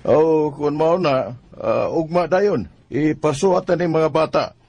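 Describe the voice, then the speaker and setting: An actor with a Filipino Cebuano accent playing a flustered but agreeable village father in a radio drama, halting as he speaks. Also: on the high side, vocal fry, darkish tone, slightly rough, exaggerated voice.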